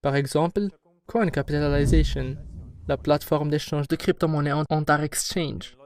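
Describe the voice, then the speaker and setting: A man heading a company, giving formal, measured and assertive answers in an interview. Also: fairly high pitch, steady tone, a little mellow. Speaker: company head answering questions.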